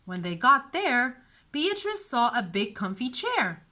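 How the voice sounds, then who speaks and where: A woman storyteller narrating a children's storybook in an expressive, deliberate way. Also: a little high, a little hiss or hum.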